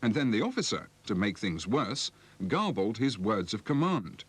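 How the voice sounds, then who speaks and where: A British man delivering measured, explanatory scripted narration for an instructional film. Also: lowish voice, very animated, husky. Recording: slightly noisy 1980s film soundtrack.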